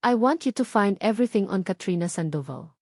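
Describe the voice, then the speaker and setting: A woman's voice: mid-range voice, lively intonation, fairly quick, darkish tone, dry recording. A woman plays a hard-edged businessman in an audio love drama. He is cold and commanding, with no doubt in his delivery. The recording is dry and close. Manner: commanding, unwavering, code-switching between Tagalog and English.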